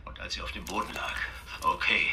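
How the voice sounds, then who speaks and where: A man's voice: fairly quick, slight background noise. An actor playing a middle-aged, bitter father grieving his murdered daughter, speaking with anguish in a radio drama. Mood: bitter grief. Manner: forceful, anguished. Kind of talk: radio drama, emotional TV interview statement.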